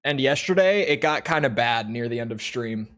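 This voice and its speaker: A man chatting casually and jokingly to his live-stream viewers while playing a game, his voice a little rough. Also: mid-range voice, lively intonation, normal speed, a bit bright. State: slightly rough voice. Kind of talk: casual live-stream chat over gameplay.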